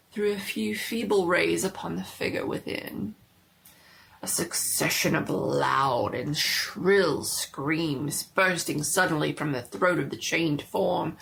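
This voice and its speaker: A woman giving a dramatic reading of a horror story, theatrical and deliberate, often dropping to a hush. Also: on the low side, vocal fry.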